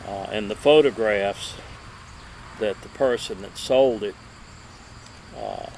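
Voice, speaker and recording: Middle aged guy doing a casual unboxing outside, takes his time, halting, some background noise. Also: on the low side. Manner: slow, halting, lingering over words. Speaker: vintage radio collector and video host. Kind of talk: casual unboxing and show-and-tell. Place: outdoor table in a wooded yard. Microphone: camera a few feet away. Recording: steady outdoor background noise.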